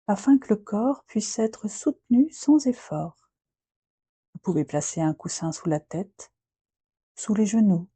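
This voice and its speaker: Female meditation guide: slow, soothing delivery with long pauses, soft breathy tone, close dry sound. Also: lowish voice, no room sound. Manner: gentle, unhurried, with long pauses between short phrases. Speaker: yoga nidra guide.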